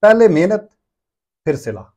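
A man's voice: sits high, very animated, a little slow, a little mellow. A man in his thirties with a Pakistani accent, answering interview questions as a small business owner. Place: small brick-walled workshop room indoors.

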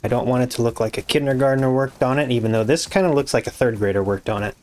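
A hoarse young man with a raspy voice, casually commenting on his game as he builds, muttering to himself and swearing when things go wrong. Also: mid-range voice, fast, a little mellow. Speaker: gaming YouTuber. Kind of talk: casual let's-play commentary.

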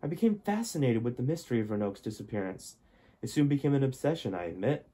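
A man reading as a husky, confessional adult son. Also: medium pitch, sing-song, quick pace, mellow. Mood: earnest confession. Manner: earnest, explanatory storytelling. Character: adult son from alternate timeline, historian, confessional. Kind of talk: amateur audiobook-style reading.

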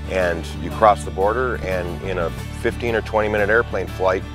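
Very low-voiced matter-of-fact man. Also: moderate pace, low-quality recording. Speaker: fishing trip interviewee. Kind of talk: interview description. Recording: background music. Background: music.

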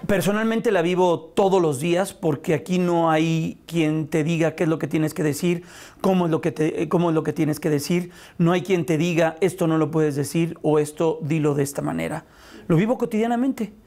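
A man in his fifties with a Mexican Spanish accent, a radio and TV news anchor, speaking in a measured, earnest way with filler pauses, recorded on a lapel mic in a studio. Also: sits high, warm tone. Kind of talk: sit-down interview testimonial. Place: TV studio set, indoors.

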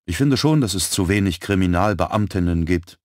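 A man voicing a brisk, frank young woman who works as a police typist. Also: sits low, lively intonation, darkish tone, dry recording, studio quality. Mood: lively.

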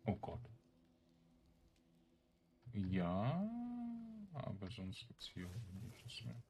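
Male gamer, very low register, unhurried delivery, hesitant off-the-cuff commentary on his own play. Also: expressive, vocal fry, warm tone, a little hiss or hum. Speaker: gaming streamer narrating his own play. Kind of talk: casual let's play commentary.

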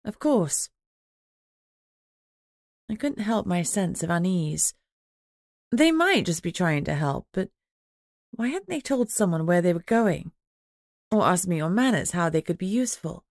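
Female audiobook narrator, lower register, first-person fiction, dry close sound. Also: big pitch swings, moderate pace, no room sound.

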